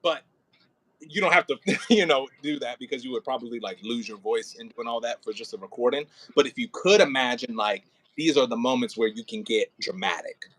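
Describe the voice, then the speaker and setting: Bright-voiced man coaching with expressive flair. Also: medium pitch, fairly quick, poor audio. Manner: expressive, demonstrates lines dramatically. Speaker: director of an audio play. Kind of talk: coaching an actor in rehearsal, casual. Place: indoors near a window, on a remote call.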